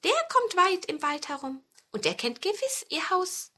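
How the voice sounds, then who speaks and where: Storybook role: little female mouse, helpful and cautioning, very high, bright singsong from a female reader. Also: big pitch swings, a little slow, heavily acted.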